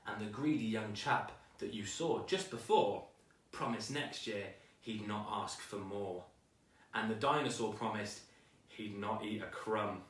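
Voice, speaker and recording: A man's voice: fairly low pitch, a little slow. A man reading a children's storybook aloud with dramatic, rhythmic delivery, recorded at a distance in an echoey room.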